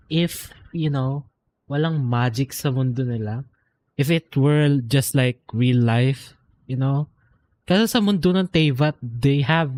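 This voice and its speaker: A Filipino-accented man doing casual, slangy live game commentary, mixing English and Filipino. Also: mid-range voice. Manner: slangy, swearing. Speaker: game streamer. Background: game music.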